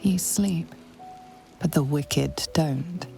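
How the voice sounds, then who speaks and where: Deep, gravelly, ominous female narrator. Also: over music, exaggerated voice. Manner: ominous, measured, knowing. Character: ominous, brooding female storyteller narrating in second person. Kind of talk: scripted game narration.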